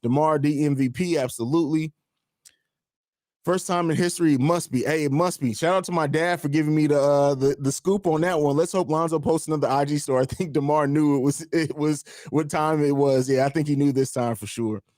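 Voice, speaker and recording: A hoarse man with a raspy voice hosting a live fan stream, talking emphatically and repeating himself, with casual swearing. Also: a little high, fairly even intonation, a little fast, darkish tone, no echo, clean studio sound. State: hoarse from screaming. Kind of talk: excited sports fan stream chat.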